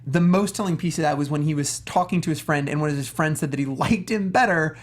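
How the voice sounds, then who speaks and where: A man's voice: on the high side, fast. A man on a discussion panel, talking casually about films in an earnest, rambling, conversational way. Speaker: talk show panelist.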